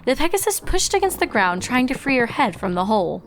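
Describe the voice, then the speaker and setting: High-voiced woman narrating a story. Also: big pitch swings, fairly quick. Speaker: fan fiction reader and narrator.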